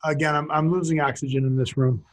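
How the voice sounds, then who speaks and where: A man's voice: on the high side, level tone, fast talker. A man co-hosting a podcast, talking in a joking, rambling, wry way. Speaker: podcast co-host. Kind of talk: casual chat.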